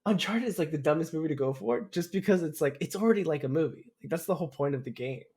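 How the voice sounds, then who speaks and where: A man's voice: a little high, animated, fast, darkish tone. A young man chatting informally as a podcast co-host. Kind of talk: casual chat about games and movies.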